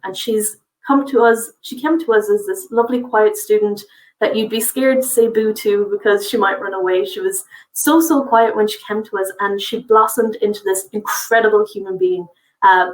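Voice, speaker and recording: A woman's voice: medium pitch, reverberant, distant mic, rough recording. A woman from a college's international office giving a friendly, informative webinar presentation, with frequent fillers.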